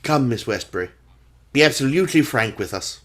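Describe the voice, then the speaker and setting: A man acting an earnest, sympathetic gentleman companion in a radio drama, with a husky voice. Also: medium pitch, lively intonation, brisk, close to an everyday voice. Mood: concerned, frank.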